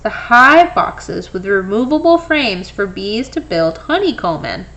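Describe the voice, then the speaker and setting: A woman reading a children's picture book aloud in an expressive way, with brief explanatory asides for young listeners. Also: mid-range voice, measured pace, lo-fi sound. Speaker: storybook reader.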